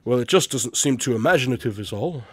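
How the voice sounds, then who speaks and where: An actor playing a shrewd, confident male detective in a radio drama, speaking in a measured, deliberate and dryly condescending way. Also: mid-range voice, expressive, a bit bright, slightly rough, dry recording, clean studio sound. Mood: musing.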